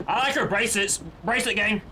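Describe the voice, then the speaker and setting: A man's voice: sits high, fast, crowd noise, poor audio. A young man doing casual, profane game commentary as a streamer, slangy and repetitive, prone to ranting.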